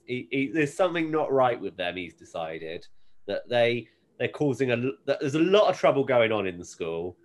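Man recapping casually in a halting way. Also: medium pitch. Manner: halting, informal storytelling. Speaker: podcast co-host. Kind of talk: casual episode recap, conversational.

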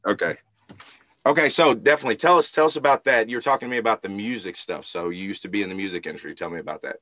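A man's voice: lowish voice, racing, pushed and bright, no room sound, highs cut off. A man hosting a podcast in casual, slangy, joking interview chat, teasing his guest informally. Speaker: podcast host.

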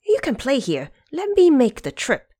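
A woman voicing a bold, shrewd male monkey leader who speaks deliberately and with command in an audio story. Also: high voice, wildly swooping pitch, dark tone, pitched above the performer's own voice. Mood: eager.

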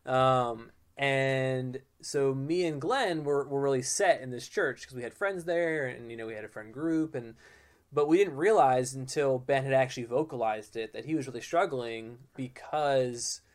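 A man's voice: medium pitch, normal speed. Easygoing man in his twenties. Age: 20s. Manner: easygoing, many fillers and restarts. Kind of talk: casual podcast conversation.

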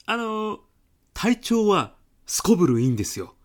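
A man's voice: high voice, big pitch swings, normal speed. A man playing an insistent, argumentative, eccentric businessman with absurd logic in a comedic radio drama. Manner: insistent, matter-of-fact, argumentative.

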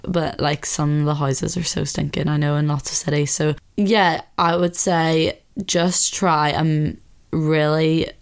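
A woman in her twenties from the UK with a slightly husky voice, hosting a podcast in relaxed casual chat from her bedroom. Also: sits low. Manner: chatty, relaxed, lots of fillers. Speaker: podcast host. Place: her bedroom, sitting on bed.

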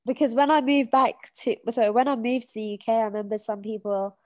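A woman's voice: a little high, a little fast, a little mellow, dry recording. A woman in her twenties with a British accent, a podcast guest talking in a reflective, hesitant way with many fillers and restarts. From Kenya. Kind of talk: casual conversation.

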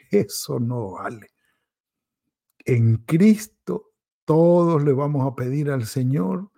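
A male pastor preaching a devotional sermon, who pauses and restarts often and mimics people's excuses in quick quoted asides. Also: mid-range voice, gravelly, mellow. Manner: pauses and restarts, self-corrects.

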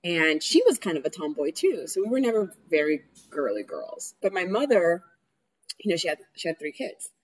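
A woman's voice: lowish voice, big pitch swings, normal speed, breathy edge, rough recording. A young woman from New York City, chatting easily in an interview, laughing often and using plenty of fillers. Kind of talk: casual interview conversation.

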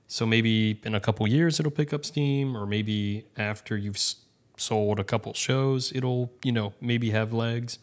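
A man's voice: fairly low pitch, measured pace. A man co-hosting a podcast, chatting casually in a reassuring, self-deprecating way. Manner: reassuring, self-deprecating, restarts his sentences. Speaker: podcast co-host. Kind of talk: casual chat.

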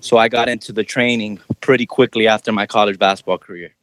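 A man's voice: fairly low pitch, fairly even intonation, fast talker, fairly bright tone, dry recording, poor audio. A young adult man from Miami, Florida, a basketball trainer, talking casually in a podcast interview and using a lot of "you know" fillers.

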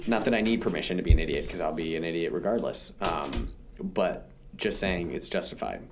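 A young man co-hosting a podcast, teasing and joking in casual banter, with a deep voice while a little sick. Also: brisk, soft background music. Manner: teasing, joking, self-mocking. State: slightly sick. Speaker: podcast co-host.

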